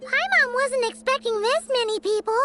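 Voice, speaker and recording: A woman voicing a tiny, cute, childish fairy companion, excitable and easily offended, in a squeaky anime game style, with music behind. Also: normal speed. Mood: surprised. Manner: indignant, refers to self in third person. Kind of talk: anime video game voice acting.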